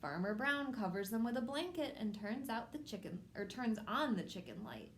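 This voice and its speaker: A woman hosting a children's storytime, reading a picture book aloud in simple, measured phrases addressed to young children. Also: fairly high pitch, very animated, slight background noise. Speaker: children's storytime host at a public library.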